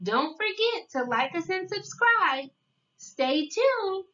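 Female children's read-along narrator, high voice, sing-song and deliberate, sounding muffled and set back from the mic. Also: big pitch swings, sharp tone, far from the mic.

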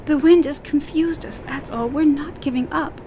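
A woman's voice: high-pitched, steady tone, normal speed, dark tone, slight background noise, voice pushed up. Woman voicing a brave young boy. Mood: reassuring. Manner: earnest, reassuring. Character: brave young boy comforting his sister.

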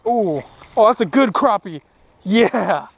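A young man with a fairly high voice chats easily while fishing from a small boat on a lake. He is upbeat and lights up whenever a fish comes in. An action camera on the boat picks him up outdoors, with a light hiss behind him. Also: takes it slowly, creaky. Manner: easygoing, upbeat, excited over catches. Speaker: fishing vlogger. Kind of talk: casual fishing commentary.

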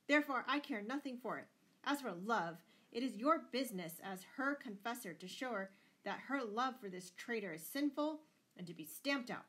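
A woman's voice: mid-range voice, lively intonation, moderate pace, bright, forceful tone. A woman voicing a furious, tyrannical noble father in a storybook, bellowing and thundering with indignation. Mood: angry, insistent. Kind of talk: dramatised storybook reading.

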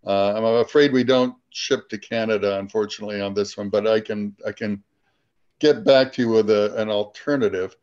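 An older man, a guest speaker in an online meeting, explains an outreach program informally, in a measured way with pauses mid-sentence. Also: fairly low pitch, fairly even intonation, darkish tone. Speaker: guest speaker from a space research institute. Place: small room at home, indoors. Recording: dull lo-fi video-call audio.

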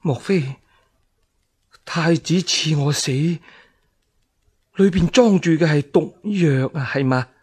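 Man as frightened, halting noble palace lady. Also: on the high side, a little mellow, slightly rough, no echo. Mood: fearful. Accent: Cantonese. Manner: anxious, halting.

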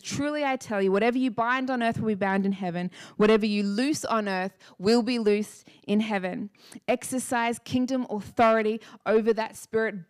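Brief: woman in her 30s, lively church preacher, smooth clear voice, handheld mic. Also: a little high. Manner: energetic, emphatic, repeats key lines and asks "Amen?". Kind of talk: sermon. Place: church stage with dark blue lighting. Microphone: handheld microphone.

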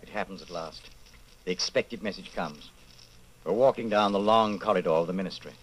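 An actor playing an ominous narrator in an old-time radio drama. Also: male voice, deep voice, fairly quick, slight background noise, lightly acted, low-quality recording. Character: ominous male narrator addressing the hero.

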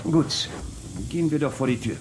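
An actor playing a suspicious, level-headed Wild West sheriff in a German children's audio drama, speaking in a measured, probing way. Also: male voice, fairly high pitch, reverberant, noisy background, lightly acted. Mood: firm. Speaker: sheriff and narrator.

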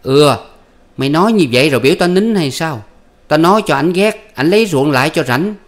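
A man voicing a sly, foul-mouthed peasant wife who scolds and curses in a high, loud voice. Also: lively intonation, normal speed, natural voice. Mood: scolding, cursing. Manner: shrill scolding and cursing. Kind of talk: storybook reading.

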